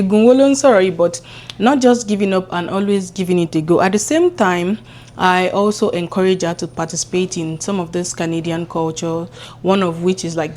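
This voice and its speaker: A woman's voice: fairly low pitch, a little mellow. A woman, talking through a casual vlog in her home kitchen, chatty and encouraging. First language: Yoruba. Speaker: vlogger. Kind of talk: casual vlog talk to camera.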